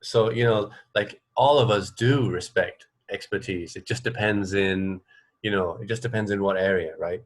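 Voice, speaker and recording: A man's voice: fairly low pitch, normal speed. An English man hosting a casual radio interview, talking in a rambling, hesitant way and often rewording himself. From England. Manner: rambling, hesitant, self-correcting. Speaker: radio show host and interviewer.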